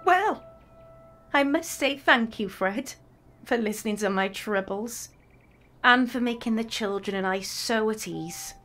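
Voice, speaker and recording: Devoted young mother, gentle, candid, proud, period radio drama. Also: a little high, lively intonation, a little slow. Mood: tender. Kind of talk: period audio drama acting.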